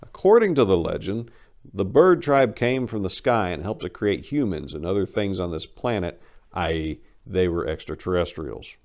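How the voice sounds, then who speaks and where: A man with a fairly low voice narrates a first-person short story as a dramatic reading. His delivery is theatrical and expressive, with lively, wide swings in pitch. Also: moderate pace.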